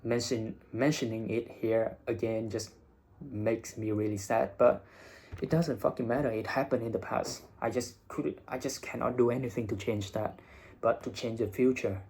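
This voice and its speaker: A man's voice: mid-range voice, a little mellow. Blunt young man vlogging. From Vietnam. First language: Vietnamese. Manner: blunt, swears casually. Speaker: IELTS teacher and vlogger. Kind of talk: casual advice monologue.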